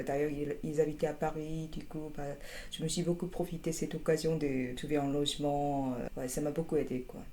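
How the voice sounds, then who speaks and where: A young Korean woman with a Korean accent, answering interview questions in an unhurried, hesitant way, with many fillers and restarts and a dry, wry humor. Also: low-pitched, dark tone, slight background noise. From South Korea. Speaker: interviewee, master's student.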